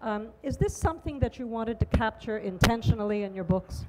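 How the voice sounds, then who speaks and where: A woman's voice: a little high, animated, brisk, rough recording. Middle-aged woman moderator, eager long questions, handheld mic, light noise behind. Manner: enthusiastic, stumbling over long questions. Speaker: interviewer, moderator. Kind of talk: onstage public interview. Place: large outdoor festival stage pavilion.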